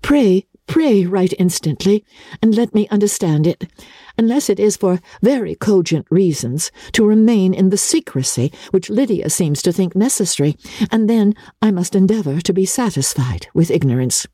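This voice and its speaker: A woman voicing a witty, curious young gentlewoman who speaks formally and earnestly in a classic novel reading. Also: medium pitch, expressive, moderate pace, husky, no room sound, clean studio sound. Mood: earnest, pressing.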